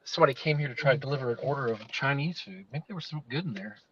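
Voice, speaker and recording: A man's voice: medium pitch, very fast, crowd noise. Talkative co-host in his car, restarts every thought, muffled stream audio. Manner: rambling, digressive, with many restarts. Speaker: livestream co-host. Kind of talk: casual chat. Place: inside a parked car.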